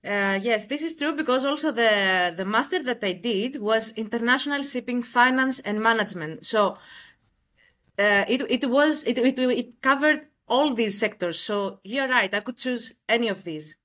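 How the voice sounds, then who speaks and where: A woman's voice: a little high, normal speed, a bit bright. A woman in her late twenties from Greece with a Greek accent, answering conversationally as an interview guest. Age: late 20s.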